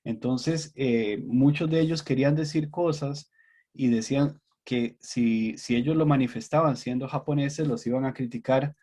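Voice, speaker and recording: A Costa Rican man, a guest researcher, answering questions in a measured academic way with many pauses and fillers. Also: medium pitch, dark tone. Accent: Costa Rican Spanish. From Costa Rica.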